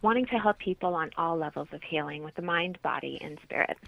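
A woman's voice: low voice, a little fast, vocal fry, fairly bright tone, dry recording. A middle-aged woman, talking conversationally as an interview guest. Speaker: interview guest, holistic healer. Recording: dull, lo-fi remote call.

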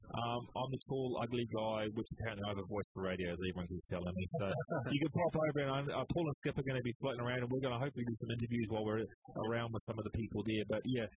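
A man's voice: mid-range voice, rapid-fire, few pauses, a little mellow, slightly rough, dry recording, rough recording. A man with a New Zealand accent co-hosting a casual tech podcast, bantering and joking. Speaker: podcast co-host.

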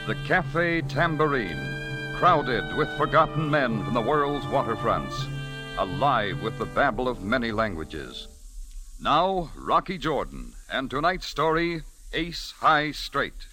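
Male announcer, vintage radio drama, animated mid-range delivery over a music bed. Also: medium pitch, slow pace. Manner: dramatic, declamatory.

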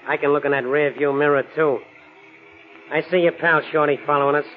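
A man's voice: on the high side, steady tone, fairly quick, lightly acted. A man playing a menacing, cool and smug gunman with slangy, clipped tough-guy talk in 1940s radio crime style. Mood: smug threat. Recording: tinny, narrow old-time radio recording.

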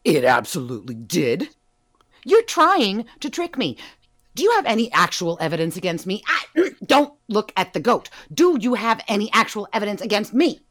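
A sly woman suspected of burglary in an audio drama. Her pitch is lively and varied, moving from meek murmurs to emphatic, theatrical protest. She is playful, evasive and teasing, always working on whoever questions her. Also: normal speed. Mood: defensive, indignant. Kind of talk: audio drama dialogue acting.

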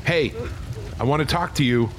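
A man's voice: a little high, moderate pace, a little hiss or hum, natural voice. A man playing a tense police officer in an audio drama, coaxing and urgent as he negotiates. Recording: music and rain under the voice.

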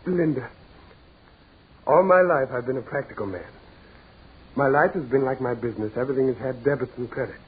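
A man's voice: mid-range voice, a little fast, long pauses, husky. An actor playing a proud, practical businessman fighting dread in 1940s radio horror, with theatrical, repetitive insistence. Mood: forced reassurance. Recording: scratchy, tinny 1940s radio recording with noise.

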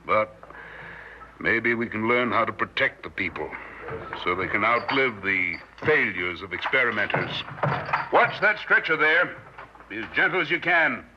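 A muffled, noisy old radio recording carries a grave doctor in charge. He is authoritative yet humane, speaking deliberately and emphatically at a middle pitch in 1960s drama style.

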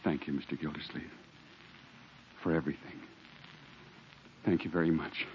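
An earnest young American father in old radio drama. His voice is extremely low, on a muffled, clearly noisy recording. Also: steady tone, moderate pace. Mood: grateful. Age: young adult. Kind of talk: 1940s radio acting.

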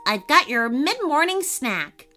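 Brief: a woman playing an eager little elf, high and bright, for a storybook. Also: sing-song, takes it slowly, soft background music, heavily acted. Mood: cheerful. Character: cheerful young male elf helper, eager.

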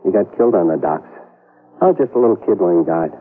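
An actor playing a gruff working-class security guard who talks bluntly and sarcastically in slang. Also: male voice, low voice, expressive, fast talker. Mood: gruff, sarcastic. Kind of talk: old-time radio drama acting. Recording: tinny, lo-fi radio broadcast.

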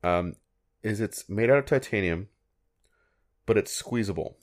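A man's voice: lowish voice, expressive, a little slow. A man co-hosting a podcast, talking in an easygoing, amused way about odd products. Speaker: podcast co-host. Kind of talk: casual chat.

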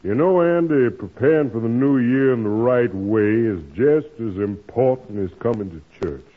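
An actor playing a kindly, earnest preacher who gives moral counsel in a measured, sermon-like way, in 1940s radio drama style. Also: male voice, medium pitch, expressive, close to an everyday voice. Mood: earnest, preaching. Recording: tinny old radio broadcast.

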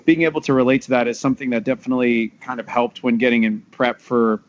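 A man's voice: medium pitch, steady tone, fast talker, highs cut off. A man from Texas with an American accent, a voice actor talking candidly and loosely in a casual panel discussion, often repeating words. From Texas, USA. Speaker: voice actor discussing an audio drama.

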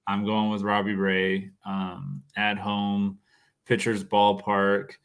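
A man with a low voice talks through his picks in a loose, halting way, filling the gaps with "um" and "you know". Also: monotone, smooth. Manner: hesitant, lots of fillers. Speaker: podcast co-host. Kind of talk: casual sports podcast chat.

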